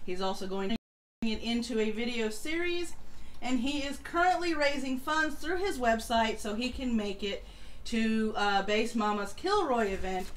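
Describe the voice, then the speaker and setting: A woman hosting a casual live stream, reading announcements and chatting informally. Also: on the high side. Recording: noisy home livestream audio.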